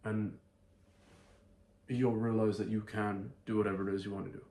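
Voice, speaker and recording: A man's voice: on the low side, little pitch movement, moderate pace, a little mellow. A young man from Ireland with a blended Irish, American and London accent, chatting casually to camera in a slangy vlog, full of "like" and "bro". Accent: mixed Irish, American and London. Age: young adult. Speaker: vlogger.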